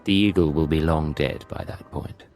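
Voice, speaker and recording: An actor playing a cool, sardonic secret agent in a video game, dry and self-assured. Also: male voice, extremely low pitch, moderate pace, a little mellow, soft background music. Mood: wry, confident. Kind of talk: video game cutscene acting.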